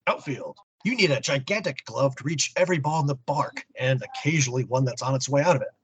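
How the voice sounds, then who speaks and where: A middle-aged American man co-hosting a podcast, chatting casually in a halting, wry way. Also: mid-range voice, expressive, slightly rough. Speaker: podcast co-host. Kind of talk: casual chat about old comics.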